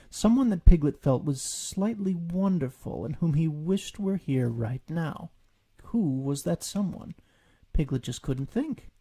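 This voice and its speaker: Mellow, gravelly man reading a story. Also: on the high side. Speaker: author reading his own story. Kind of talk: scripted audiobook narration.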